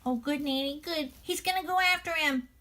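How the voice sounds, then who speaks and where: A small, high-voiced childlike character, chats through a children's storytime. It is eager and innocent, full of anxious little questions and exclamations. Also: female voice, moderate pace, sharp tone. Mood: relieved. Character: Squishy, curious anxious childlike little puppet pal.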